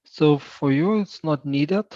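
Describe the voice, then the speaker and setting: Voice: mid-range voice, slow pace, mellow, dry recording, highs cut off. A university admissions representative answering applicant questions patiently and helpfully, with frequent hesitations.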